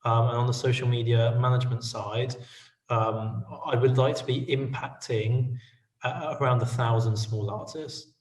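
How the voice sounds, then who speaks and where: A man's voice: mid-range voice, fairly even intonation, normal speed, a little mellow. A man answering interview questions earnestly, with a businesslike tone and many fillers. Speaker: guest, media company co-founder. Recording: roomy audio from far off the mic.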